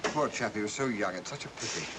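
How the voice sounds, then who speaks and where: An actor playing an affable, plummy army major with a British accent in 1940s film style. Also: male voice, lowish voice, fast, very noisy, close to an everyday voice. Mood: sympathetic.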